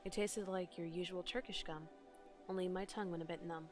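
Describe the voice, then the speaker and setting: A woman's voice: on the low side, fairly even intonation, brisk. Woman, streamer, reads game dialogue aloud, dramatic narration, faint game music behind.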